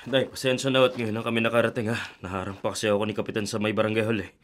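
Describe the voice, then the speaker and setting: An actor playing a hurried, apologetic young father in a radio drama. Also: on the low side, level tone, close to an everyday voice.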